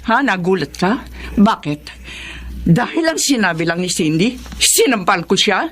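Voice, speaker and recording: A woman's voice: medium pitch, very animated, measured pace. A woman playing a stern, aggrieved middle-aged mother and wife in a radio drama, emphatic and confrontational. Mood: angry, accusing. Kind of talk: Tagalog radio drama acting.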